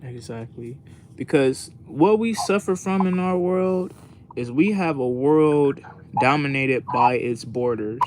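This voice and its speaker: A man's voice: mid-range voice, slow, a little hiss or hum. An American man co-hosting a podcast, chatting casually in an earnest, rambling way over an online voice call. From United States. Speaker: podcast co-host.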